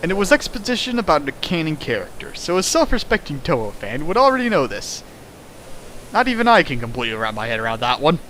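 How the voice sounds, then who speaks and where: A young man riffing sarcastically on a fanfic over a stream, laughing often and swinging between loud and soft. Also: on the high side, very animated, fast talker, a little hiss or hum, rough recording. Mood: mocking. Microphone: home mic on a stream.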